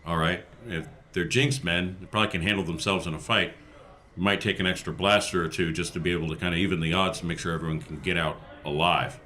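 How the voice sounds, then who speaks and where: A man playing a steady, earnest rebel adventurer in an improvised tabletop game, speaking deliberately. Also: sits low. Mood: earnest, resolute.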